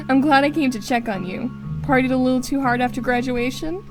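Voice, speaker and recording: A woman's voice: high voice, lively intonation, normal speed. A woman voicing a playful, bubbly young graduate in a visual novel game, teasing her best friend. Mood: teasing, fond. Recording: music bed under the voice.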